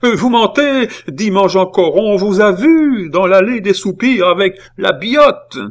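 A man's voice: mid-range voice, a little slow, voice pushed up, natural voice. A man voicing a stern, suspicious old spinster aunt in a storybook reading, accusing and sententious. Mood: accusing, angry. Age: older. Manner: accusing, sarcastic, indignant exclamations.

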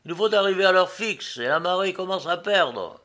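A man's voice: mid-range voice, monotone, unhurried, creaky, pushed and bright, clean tone. A man voicing a stern, impatient steamboat captain in a storybook, firm and curt.

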